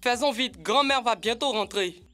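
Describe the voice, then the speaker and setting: A woman's voice: fairly high pitch, moderate pace, sharp tone, faint music behind. A woman voicing a confident, practical young child with an assertive manner in a children's cartoon dub.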